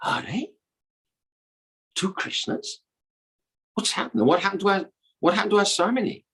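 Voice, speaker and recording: A man voicing bewildered, excitable young cowherd girls who press eagerly with repeated questions. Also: high-pitched, moderate pace, slightly rough, pitched above the performer's own voice, heavily acted.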